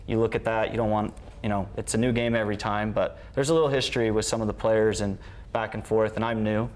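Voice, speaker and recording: A man's voice: on the low side, fairly even intonation, fast talker. A man speaking as a coach in a conversational interview. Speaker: soccer coach.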